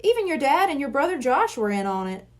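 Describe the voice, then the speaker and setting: Woman reading jovial, high-voiced stage hypnotist. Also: sing-song, brisk. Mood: amused. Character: friendly, playful middle-aged male stage hypnotist.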